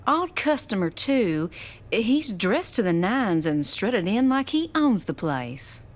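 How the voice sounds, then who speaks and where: Hesitant diner waitress, unhurried woman acting. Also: medium pitch, sing-song. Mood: wary. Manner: hesitant, unsure. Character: timid, curious small-town diner waitress. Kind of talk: audio drama acting.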